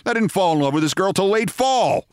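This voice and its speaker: A man's voice: sits high, lively intonation, fairly quick, clear, resonant tone, no echo, studio quality, voice pushed up. A man playing a stubborn middle-aged husband who talks in a defensive, petulant, indignant way.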